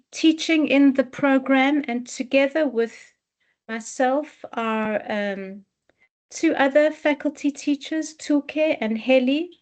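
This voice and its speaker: A woman who heads a dance program, giving a slow, measured presentation on a video call with many restarts. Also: fairly high pitch, a little mellow. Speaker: head of program. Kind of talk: online info session presentation.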